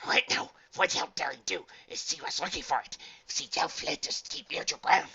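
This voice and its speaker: A man voicing a timid, simple-minded but eager dog creature in a storybook, talking in broken, excitable sentences. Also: on the high side, normal speed, gravelly, highs cut off, crowd noise, voice pushed up. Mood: nervous, confiding. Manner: broken third-person speech, cowering and excitable.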